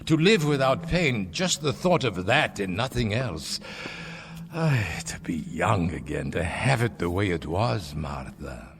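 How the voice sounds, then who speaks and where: A man's voice: on the low side, big pitch swings, unhurried. An actor in a radio drama playing a weary, earnest old husband, hesitant and emotional in his speech. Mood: wistful. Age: older. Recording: long hall reverb, music underneath.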